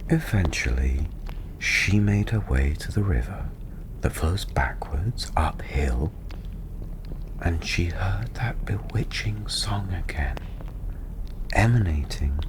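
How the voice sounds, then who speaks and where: A man softly narrating a fantasy story in ASMR style, breathy and often half-whispered, soothing and unhurried. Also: deep voice. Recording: steady ambient background noise.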